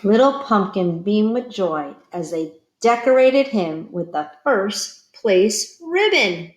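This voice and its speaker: A woman reading a picture book aloud to children like a teacher, expressive and pausing to put questions to young listeners. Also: medium pitch, echoey room. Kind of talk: children's read-aloud storytelling.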